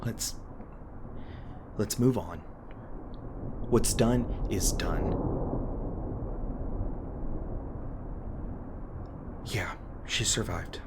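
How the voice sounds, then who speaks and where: A man playing a haunted monster hunter in an intimate audio roleplay, guarded but remorseful, confessing his painful past in a halting, hesitant way. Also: lowish voice, very noisy. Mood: subdued, grieving.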